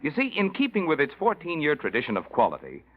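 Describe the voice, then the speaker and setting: A man's voice: a little high, huge pitch swings, fast. A man announcing a sponsor message in polished, folksy 1940s radio advertising style, with emphatic delivery. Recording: dull vintage radio recording.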